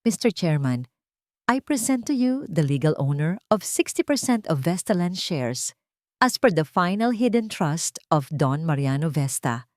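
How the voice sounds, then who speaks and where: A woman's voice: deep voice, big pitch swings, a little slow, darkish tone, no echo, studio quality. A woman with a Filipino accent playing a composed professional man in an audio drama, speaking formally and with control. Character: composed professional man presenting legal papers to board.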